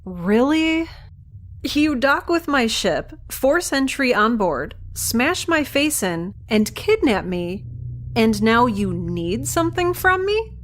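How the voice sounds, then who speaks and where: A woman playing a defiant, quick-witted young researcher who is nauseated and concussed and talks back sardonically. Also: fairly high pitch, big pitch swings. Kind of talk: audio drama acting.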